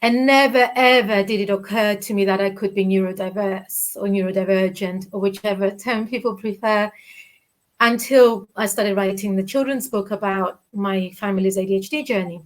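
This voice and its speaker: A woman's voice: medium pitch, steady tone, moderate pace, low-quality recording. A woman in her forties with a Cypriot Greek accent, speaking candidly as an interview guest over a video call from her living room, often restarting her sentences. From Cyprus. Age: 40s. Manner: candid, reflective, many restarts and fillers. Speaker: guest, children's author. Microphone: video call webcam.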